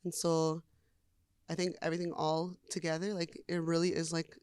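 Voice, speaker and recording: A young woman singer chats through an interview in a smooth, resonant voice, peppering her talk with "like" and laughing easily. The sound is close and dry, with no room around it. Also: sits low, little pitch movement, normal speed, a little mellow, dry recording. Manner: chatty, frequent "like" fillers, laughs easily. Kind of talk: casual interview chat.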